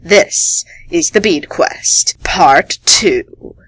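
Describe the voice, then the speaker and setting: A woman's voice: on the low side, extremely slow, a bit bright, a little hiss or hum, poor audio. A woman reading scripted story narration for a radio theatre piece.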